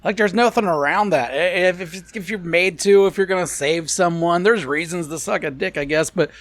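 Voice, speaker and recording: A man co-hosting a crude comedy podcast with a Cincinnati, Midwest accent, teasing his partner and firing back quick profane rebuttals. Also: high voice, a bit bright, no room sound. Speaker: podcast co-host. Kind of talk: casual crude podcast banter.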